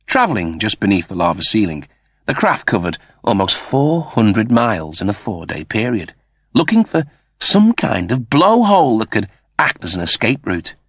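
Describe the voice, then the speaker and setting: Animated male audiobook narrator, deepish voice. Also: normal speed, breathy edge, no echo, clean studio sound. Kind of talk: dramatic scripted story narration.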